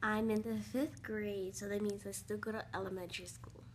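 A woman's voice: medium pitch, flat delivery, a little fast, a little hiss or hum. A young woman giving a careful, recited self-introduction into a phone camera at home. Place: indoors, at home.

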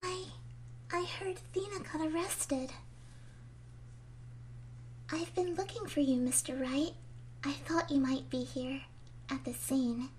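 Soft, high-voiced gentle teenage girl played by a woman. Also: takes it slowly, pauses often, background noise. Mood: worried. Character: gentle, frail, wistful teenage girl, a worried friend. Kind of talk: fan-dub video game voice acting. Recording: amateur fan dub over game music. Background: game music.

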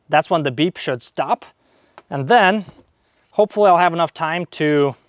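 A man with a slightly high voice walks through a practical repair demonstration. He is informal and plain-spoken, going step by step. The clip-on mic gives a close, dry sound with little room to it. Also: no echo. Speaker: DIY repair video host. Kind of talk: hands-on demonstration and explanation. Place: outdoors in a leafy garden at a table. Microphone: clip-on wireless mic on the chest.

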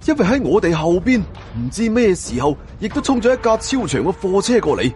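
A man's voice: sits high, very animated, a little fast, a little mellow, soft background music. A man with a Hong Kong Cantonese accent narrating a suspense novel as a dramatic audiobook storyteller.

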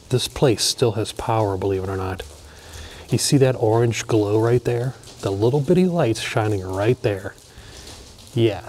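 A man's voice: on the low side, darkish tone, a little hiss or hum. A man, filming as he explores an abandoned hospital and talking to viewers in awe. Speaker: urban explorer vlogger. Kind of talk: narration and on-site exploring commentary. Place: dark abandoned hospital building, indoors.

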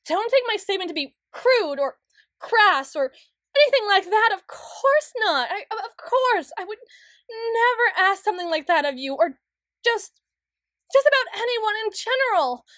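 A studious young tsundere woman , acted out by a woman, speaks in a high voice at an unhurried pace. She is prim and wordy, halting and stammering as her composure slips. It is a close, dry ASMR roleplay performance. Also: fairly bright tone, dry recording, heavily acted. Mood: flustered.

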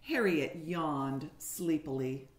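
Woman reading a storybook to kindergartners, takes it real slow, expressive teacher delivery, bit echoey room on a webcam. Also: deep voice. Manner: expressive storytelling with questions to young listeners. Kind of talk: picture-book read-aloud for young children. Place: living room at home, indoors. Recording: roomy echo on a home webcam.

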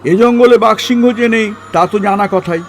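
A man's voice: sits high, normal speed, soft background music. A man acting a cautious, reasoning senior man who leads a trek in an audio story, musing and explaining as he goes. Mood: reasoning, uneasy.

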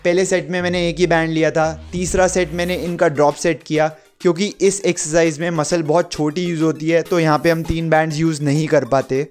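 A man's voice: on the high side, flat delivery, fairly quick, hardly any pauses, darkish tone, soft background music. A young man giving an explanatory workout voice-over as an instructor, dropping English gym terms into his sentences. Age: young adult.

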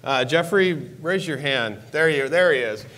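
A man's voice: animated, unhurried, a bit bright, poor audio. Upbeat man giving a conference keynote. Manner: upbeat, enthusiastic pitch with stressed repeated words. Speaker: keynote speaker, technology executive. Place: large conference hall stage. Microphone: lapel mic.

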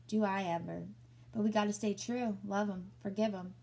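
A woman's voice: medium pitch, steady tone, normal speed, gravelly, dark tone, a little hiss or hum, lightly acted. A woman reading as a blunt, wisecracking stray dog. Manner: blunt, sardonic.

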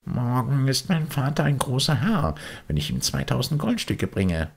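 A man's voice: medium pitch, big pitch swings, moderate pace, gravelly, slightly rough, pitched above the performer's own voice, exaggerated voice. A man voicing a stubborn wooden puppet boy, cocky, defiant and grumbling, in a storybook reading.

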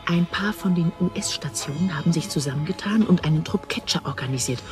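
A woman's voice: deep voice, a little fast, over music, lightly acted. Keen, confiding journalist played by a woman. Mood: urgent, confiding. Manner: confiding, colloquial. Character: keen, gossipy female newsroom journalist. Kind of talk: radio drama acting.

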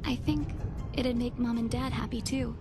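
A young woman playing a gentle, grieving young animal villager in a video game, earnest, soft-hearted and wistful. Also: on the high side, steady tone, moderate pace, over music, natural voice. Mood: hopeful, tender. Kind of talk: dramatic video game dialogue.

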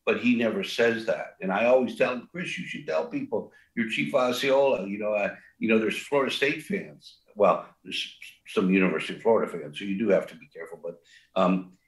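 A man's voice: fairly low pitch, fairly quick, reverberant, poor audio. An older man, a restaurant co-founder, talks in a rambling way with many fillers and restarts and a big voice. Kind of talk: casual interview talk.

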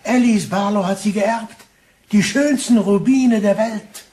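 An actor playing a shrewd, genial detective in a German radio crime drama, speaking in a theatrical, inquisitive and courteous way. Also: male voice, high-pitched, measured pace, a little mellow. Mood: triumphant. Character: shrewd, genial male police detective, quietly triumphant.

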